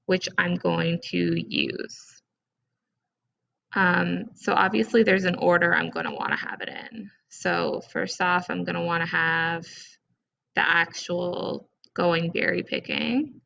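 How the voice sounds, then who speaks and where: A woman presenting a casual step-by-step software tutorial in an informal, conversational way, recorded with dull, lo-fi sound. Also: mid-range voice, creaky.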